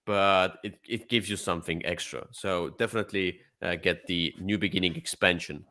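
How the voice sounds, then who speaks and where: A man co-hosting a casual board game discussion, enthusiastic, with halting, repetitive phrasing. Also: low-pitched, steady tone, sharp tone. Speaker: co-host.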